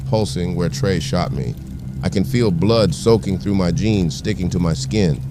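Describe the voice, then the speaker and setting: Audio drama, male actor: wounded trapped man, low voice, strained and urgent, noisy ambience behind. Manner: strained, urgent, shouting for help. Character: Jason, wounded, desperate man trapped in burning house. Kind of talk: first-person audio drama narration with dialogue.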